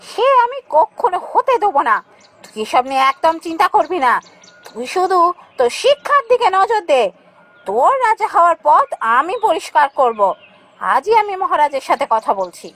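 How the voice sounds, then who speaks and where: A woman's voice: very high-pitched, very animated, normal speed, fairly bright tone, exaggerated voice. A woman voicing a scheming, jealous younger queen in a fairytale cartoon, speaking in an insinuating, emphatic way. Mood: determined. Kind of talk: Bengali fairytale cartoon voice acting.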